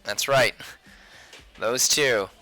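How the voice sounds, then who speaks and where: A man's voice: medium pitch, extremely slow, sharp tone, faint music behind, poor audio. A man hosting a casual drawing livestream, chatting playfully with viewers and talking to himself as he works. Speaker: livestream host, sketch artist.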